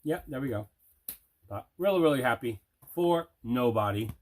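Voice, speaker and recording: A man chats casually as the host of an unboxing video. His voice sits in a middle pitch, and he leans on simple, emphatic, repeated phrases to show his enthusiasm. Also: expressive, moderate pace, a little mellow.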